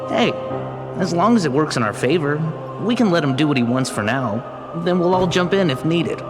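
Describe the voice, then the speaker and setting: An informal, evasive game villain, acted by a man, with a fairly high voice. He comes across as a casual coward, with music behind. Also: expressive, moderate pace, natural voice. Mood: breezy. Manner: informal, hedging. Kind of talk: video game cutscene acting.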